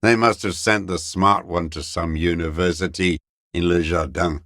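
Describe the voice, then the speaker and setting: Game role: aged revolutionary holdout, deep-voiced, very slow delivery, scornful, weary and unrepentant. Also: male voice, a bit bright, no echo, clean studio sound. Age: older. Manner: contemptuous, ideological, slow and weary. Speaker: video game character actor. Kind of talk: dramatic game voice acting, bitter monologue.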